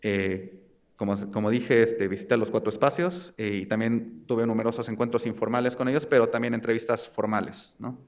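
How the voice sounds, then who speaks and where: A man's voice: medium pitch, steady tone. A young man with a Mexican accent giving an academic research presentation, speaking hesitantly and often searching for words. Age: young adult. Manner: hesitant, halting delivery with searching pauses. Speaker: graduate student presenting research.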